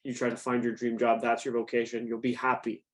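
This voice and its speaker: A young man teaching a group, speaking in an even, middle-pitched voice. His talk is conversational and easy, and he keeps stopping to put questions to his listeners. He sounds like someone guiding a youth class on a video call. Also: a little fast. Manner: conversational, asks the audience questions. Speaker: youth program director.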